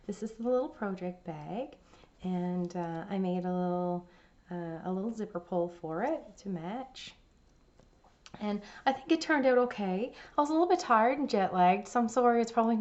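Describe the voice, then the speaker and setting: A woman hosting a cross-stitch vlog, her voice raspy from laryngitis, chatting casually with many fillers and restarts. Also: medium pitch, darkish tone, dull audio. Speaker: cross-stitch channel host.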